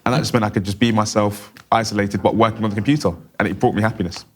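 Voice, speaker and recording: A man interpreting for a deaf guest, speaking quickly in the first person. His voice sits fairly low, and he strings short clauses together in a steady flow. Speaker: sign language interpreter voicing a deaf guest.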